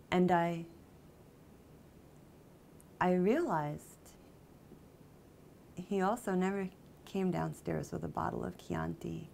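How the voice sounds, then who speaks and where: A woman playing a jilted, obsessive character who confesses in a halting, conversational dramatic monologue, with volatile emotion. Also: low-pitched, gravelly, darkish tone, a little hiss or hum, full character voice. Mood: wistful, subdued. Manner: halting, emotionally volatile, mimics others' exclamations. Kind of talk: dramatic monologue, conversational first-person storytelling.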